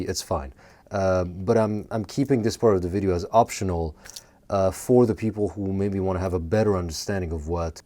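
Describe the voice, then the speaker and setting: A man whose first language is French, a video essay host who grew up in Quebec, talking to camera in an earnest, measured personal monologue full of fillers. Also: low-pitched, dark tone.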